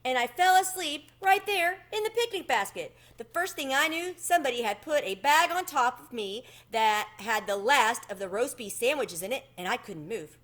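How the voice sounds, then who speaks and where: A woman's voice: sits high, lively intonation, moderate pace, few pauses, a bit bright, voice pushed up. A woman voicing a polite, modest male country cricket in a children's storybook, earnestly telling his adventure. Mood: confessing. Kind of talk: children's storybook read-aloud.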